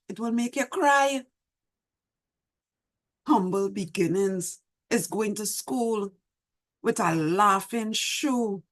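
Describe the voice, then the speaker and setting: Slow-talking Trinidadian woman reading poetry. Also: a little high. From Trinidad and Tobago. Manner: slow, gentle and reminiscing. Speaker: host. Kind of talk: reflective talk and poetry reading. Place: small room at home. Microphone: video call webcam.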